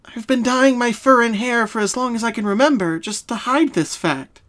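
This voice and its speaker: Woman voicing a sad, soft, high-voiced young pony. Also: close to an everyday voice. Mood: sorrowful. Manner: soft, solemn, halting. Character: sad young female pony confessing her hidden identity.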